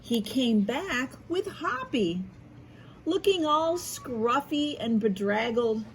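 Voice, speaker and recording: Slow-paced American woman reading to children. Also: a little high, slight background noise, poor audio. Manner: expressive, encouraging, asks questions and pauses for answers. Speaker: school media specialist reading aloud to children. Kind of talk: read-aloud storytelling and lesson for young children.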